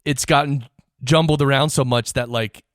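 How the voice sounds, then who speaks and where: A man's voice: mid-range voice, fairly even intonation, normal speed, no echo, studio quality. A man hosting an interview show, chatting in an informal, rambling, conversational way. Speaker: podcast host. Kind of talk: casual interview chat.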